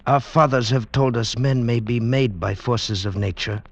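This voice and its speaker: Male actor as grave, superstitious blind valley man, rough husky tone, muffled old-radio sound, archaic declamation. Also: mid-range voice, normal speed, dry recording, close to an everyday voice. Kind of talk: vintage radio drama acting. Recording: dull vintage radio recording.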